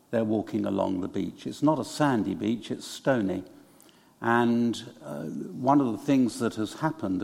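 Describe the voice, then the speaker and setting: Older man, slow delivery, medium pitch, hesitant storytelling sermon, reverberant church space. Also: creaky, darkish tone. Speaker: preacher. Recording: echoey church hall with long reverb.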